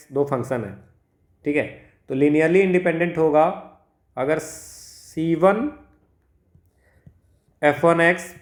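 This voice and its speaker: A man with an Indian accent giving an informal maths lesson, working step by step, asking himself questions and mixing two languages. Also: fairly high pitch. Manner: code-switches between two languages, asks himself questions, frequent check-words. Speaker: maths teacher for exam preparation. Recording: roomy, echoing sound.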